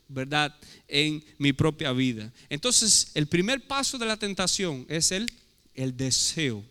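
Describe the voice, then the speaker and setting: High bright-voiced preacher, Portuguese-tinged accent. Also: male voice, clear, resonant tone. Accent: Portuguese-tinged Spanish. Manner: emphatic preaching with rhetorical questions. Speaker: pastor. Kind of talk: sermon. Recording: echoey hall reverb.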